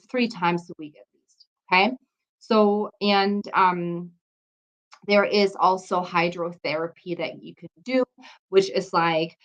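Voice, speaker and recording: Female health instructor, medium pitch, conversational teaching style with frequent check-ins, somewhat dull recording. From Germany. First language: German. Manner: explanatory, checks in with "okay?" and "right?". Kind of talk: informal lecture to an audience.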